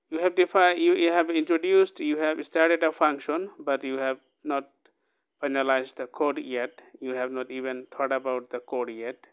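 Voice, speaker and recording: A man's voice: on the high side, smooth, lo-fi sound. A man teaching a programming lesson, reading code aloud line by line and often checking in with "okay?". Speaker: programming tutor. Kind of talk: casual screen-recorded coding lesson.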